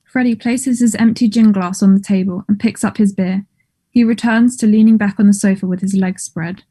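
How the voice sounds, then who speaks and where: A woman's voice: medium pitch, fairly even intonation, normal speed, warm tone, breathy edge. A woman reading stage directions as scripted narration for a play.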